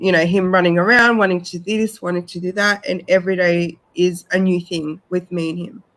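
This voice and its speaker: A woman's voice: fairly low pitch, fairly bright tone, poor audio. A woman with an Australian accent, chatting casually as a panel guest and telling her own story.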